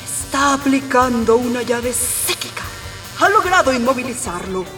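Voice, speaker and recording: A woman's voice: sits high, sing-song, moderate pace, over music. Echoey entranced female seer. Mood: tense. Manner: dramatic play-by-play. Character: entranced female seer narrating a spirit battle. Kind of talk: radio drama acting. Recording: reverberant, distant.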